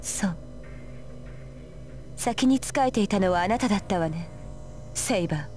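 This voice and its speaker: A woman's voice: medium pitch, expressive, fast, a little mellow, close to an everyday voice. A woman playing a composed, coolly polite servant warrior in a Japanese visual novel, speaking formally. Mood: cool, defiant. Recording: game dialogue over background music.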